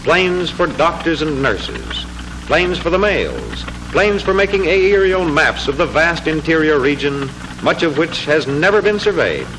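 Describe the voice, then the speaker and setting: Formal 1940s newsreel-style male narrator, lively pitch. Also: noisy background. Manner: formal, measured, declamatory delivery. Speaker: narrator of an educational documentary film. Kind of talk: 1940s scripted educational film narration. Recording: 1940s film soundtrack with music. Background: music.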